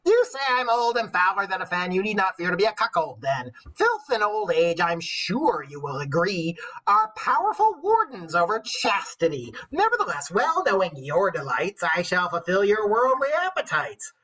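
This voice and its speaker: A man voicing a sly, scolding old wife from a storybook verse tale, in a theatrical, emphatic way with drawn-out phrases. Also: high-pitched, sing-song, pushed and bright, voice pushed up, heavily acted, rough recording. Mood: reproachful, proud. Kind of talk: storybook verse read aloud in character.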